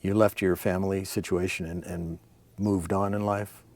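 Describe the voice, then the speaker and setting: A man with a low voice hosts an interview. He asks his questions calmly and adds short prompts of agreement. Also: normal speed. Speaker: interview host. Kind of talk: interview conversation.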